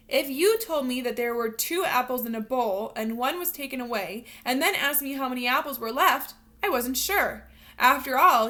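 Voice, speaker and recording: A woman teacher reading a picture book aloud to young children, expressive and instructional, pausing to put questions to them. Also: high voice. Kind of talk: animated read-aloud storytelling with questions to young learners.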